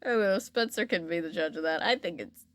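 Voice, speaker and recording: A woman's voice: fairly low pitch, expressive, a little fast, a bit bright. A woman hosting a podcast in a casual, playful, bantering comedic chat. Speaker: podcast host. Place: indoor room.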